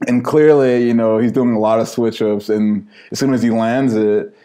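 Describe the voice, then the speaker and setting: A man's voice: medium pitch, fairly even intonation, normal speed, warm tone. A young man from Los Angeles, a podcast guest, telling stories in loose casual chat that is full of "like" and broken by laughter. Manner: loose storyteller, heavy on "like", laughs as he talks.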